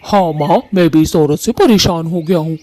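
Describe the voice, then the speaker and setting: A man voicing Kalu, a harsh, bossy male bird husband in a cartoon who scolds loudly. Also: a little high, normal speed, dry recording, close to an everyday voice. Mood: complaining. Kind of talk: Hindi cartoon voice acting.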